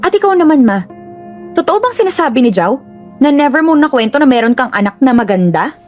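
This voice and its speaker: Young female radio-drama lead, acted by a woman: high, very animated, emphatic, bossy daughter, music behind. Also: a little fast. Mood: bossy, accusing. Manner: emphatic, mock-interrogating. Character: bossy, excitable grown daughter confronting her mother.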